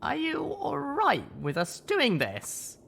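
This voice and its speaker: A man voicing a small, earnest young female unicorn pony in an audiobook reading, speaking slowly and carefully. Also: extremely high pitch, wildly swooping pitch, gravelly, a bit bright, slight background noise, pitched above the performer's own voice, heavily acted. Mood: cautious. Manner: slow, careful delivery. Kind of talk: audiobook character voice.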